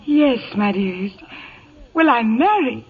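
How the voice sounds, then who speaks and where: A woman's voice: fairly high pitch, animated, slow pace, breathy edge, exaggerated voice. A woman playing a vain, affected glamorous actress in an old-time radio comedy, gushing and catty. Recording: muffled vintage radio broadcast recording.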